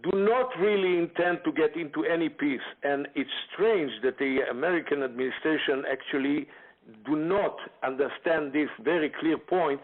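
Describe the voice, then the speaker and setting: Male expert guest, emphatic, slightly high voice, narrow phone audio. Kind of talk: lecture-style briefing. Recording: tinny phone line.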